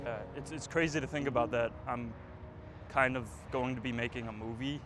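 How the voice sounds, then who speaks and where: A man's voice: medium pitch, steady tone, fairly quick, music in the background. A man in his twenties vlogging outdoors, rambling and reflective, with lots of fillers. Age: 20s. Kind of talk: casual reflective vlog. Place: outdoors, windy.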